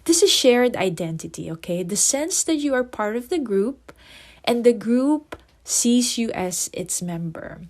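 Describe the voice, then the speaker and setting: A woman's voice: on the high side, very animated, measured pace. Filipino-accented woman podcast host. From Philippines. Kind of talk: casual podcast talk.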